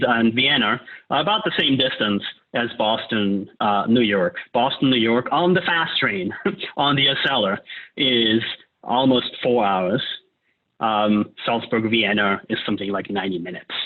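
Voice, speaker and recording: A man's voice: mid-range voice, normal speed. A man from Austria, a climate economist, explaining policy in a loose lecture style, often checking in with "right?". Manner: casual, frequent "uh" and "right?" tags. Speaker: climate economist leading a discussion. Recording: tinny phone-like line.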